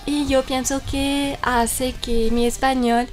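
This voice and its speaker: French-accented woman, a bit high-pitched. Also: flat delivery, takes it slowly. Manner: speaks in long explanations with fillers like "mira" and "entonces". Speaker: guest from a French cultural institute. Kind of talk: interview answers.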